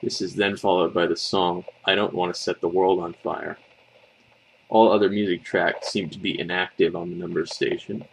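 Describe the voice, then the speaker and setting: Deep, creaky-voiced man narrating horror. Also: level tone, moderate pace, poor audio. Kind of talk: scripted creepypasta narration.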